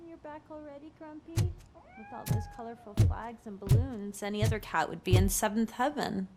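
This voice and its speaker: A woman's voice: fairly high pitch, sing-song, a little fast, a little mellow, faint music behind. A woman voicing a brash, flirtatious hotel desk worker in a video game, with a teasing, sassy, casual manner.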